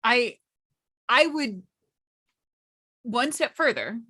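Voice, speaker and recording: A woman panelist talks casually in a bright, forward voice. She takes her time, pausing mid-sentence as she thinks through her explanation. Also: a little high, expressive, husky. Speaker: workshop panelist and game storyteller.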